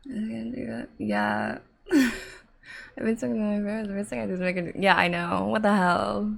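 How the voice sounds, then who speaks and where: A woman livestreaming casual ASMR, talking softly to her chat in a giggly way, sometimes dropping to a whisper. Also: medium pitch, expressive, moderate pace, gravelly. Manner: giggly, easily breaking into laughter. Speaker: streamer reading a story aloud to a live chat.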